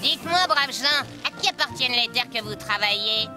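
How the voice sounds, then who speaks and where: A woman's voice: fairly high pitch, lively intonation, brisk, over music, lightly acted. A woman voicing a clever, confident talking cat in a cartoon, with a persuasive, bossy manner. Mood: commanding.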